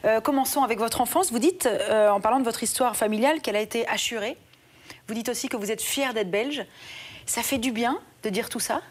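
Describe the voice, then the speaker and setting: A woman hosting a TV interview, asking questions in a lively, friendly way. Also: a little high, fairly quick. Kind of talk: TV talk show interview. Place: TV studio.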